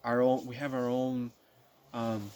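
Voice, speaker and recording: A nineteen-year-old man speaks straight to his viewers at an everyday mid-range pitch. He rambles and circles back, stacking fillers and false starts as he thinks aloud. A faint hum of background noise sits under the voice. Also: level tone, unhurried, darkish tone. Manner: rambling, many fillers and repeated restarts. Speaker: vlogger. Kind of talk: casual spoken monologue to viewers.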